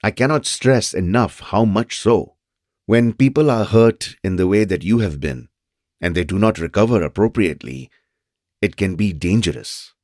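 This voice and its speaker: An actor in an audio drama playing an earnest, fatherly psychologist who talks in measured, formal, wordy phrases. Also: lowish voice, animated, darkish tone, no room sound, clean studio sound, close to an everyday voice. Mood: grave, earnest. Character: fatherly, earnest psychologist mentor, called Doc.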